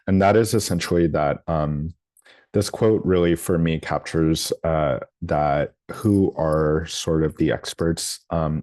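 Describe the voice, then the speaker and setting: A man's voice: a bass voice, a little mellow, dry recording, studio quality. A man from New Orleans leading a training workshop over a video call, talking his way through with frequent ums and restarts. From New Orleans, United States. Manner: hesitant, lots of ums and restarts. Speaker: workshop presenter. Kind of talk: live training presentation.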